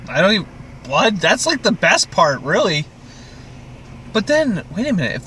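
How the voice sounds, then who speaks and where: High, bright-voiced man ranting casually. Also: very animated, normal speed, a little hiss or hum. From Canada. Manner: ranting, exasperated, sweary. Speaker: podcast co-host. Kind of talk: casual TV recap chat.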